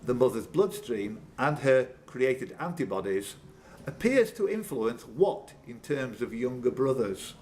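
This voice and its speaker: A man's voice: medium pitch, big pitch swings, unhurried, hardly any pauses, a little mellow, slight background noise. A man working as a quizmaster, reading questions live with informal teasing banter and stumbling self-corrections. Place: indoors at a pub quiz tournament.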